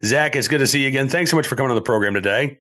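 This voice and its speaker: A male talk show host who sounds scratchy and stuffed up from allergies, talking in animated bursts with many fillers. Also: medium pitch, racing. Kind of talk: conversational political talk show.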